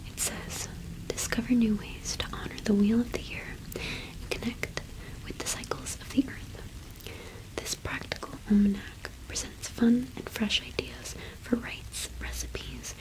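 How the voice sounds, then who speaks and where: A woman in her twenties doing an ASMR roleplay as a cottage witch shopkeeper, speaking softly and unhurriedly with hesitant pauses, close to the microphone. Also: mid-range voice, expressive, low-quality recording. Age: 20s. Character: friendly solitary cottage witch keeping a small shop.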